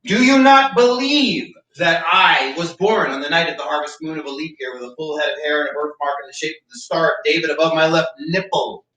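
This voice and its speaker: An actor in a staged play reading, playing a wry, hard-drinking eccentric who tells droll, long-winded stories theatrically. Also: male voice, fairly high pitch, a little fast, hardly any pauses, bright, forceful tone. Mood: droll reminiscing. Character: wry, eccentric, hard-drinking male raconteur, thesis mentor. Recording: roomy echo, distant mic.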